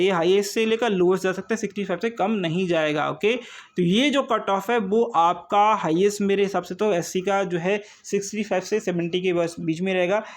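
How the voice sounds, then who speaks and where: Man, high pitch, coaching teacher, informal explaining, repetitive phrasing, frequent check-in tags and rhetorical questions. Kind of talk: informal exam-prep lesson.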